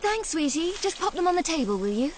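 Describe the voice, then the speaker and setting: A woman voicing a gentle, affectionate young boy's mother with a caring manner in an animated game. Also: extremely high pitch, fast, background noise. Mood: affectionate, lightly chiding. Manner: soft, caring, lightly chiding. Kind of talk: animated game cutscene acting.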